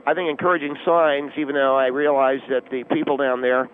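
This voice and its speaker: A man playing an earnest, self-important volunteer police spokesman phoning in to a comedy radio show, speaking in a halting, officious, formal way. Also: mid-range voice, little pitch movement, natural voice. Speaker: phone-in guest. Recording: tinny phone line with noise.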